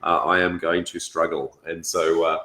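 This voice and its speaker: An Australian man hosting a casual livestream, halting as he searches for words, with a raspy, congested voice from being ill. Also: deep voice, flat delivery, bright, forceful tone. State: congested from illness, blocked nose. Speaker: host of a property education session. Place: indoors on a couch, echoey room. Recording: roomy, echoing sound.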